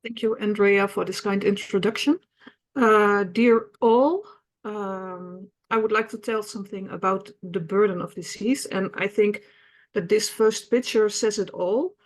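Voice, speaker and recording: A woman's voice: mid-range voice, steady tone, unhurried, low-quality recording. Dutch-accented woman in her fifties, hesitant. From Netherlands. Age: 50s. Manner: hesitant, many fillers and restarts. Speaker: patient representative. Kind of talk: online webinar presentation.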